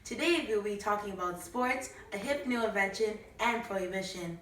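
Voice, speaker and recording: A woman's voice: mid-range voice, normal speed, a bit bright, lightly acted. A young woman acting as a chirpy, theatrical 1920s radio show host who uses old-time slang. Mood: upbeat, presenting. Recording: roomy, distant mic, faint noise.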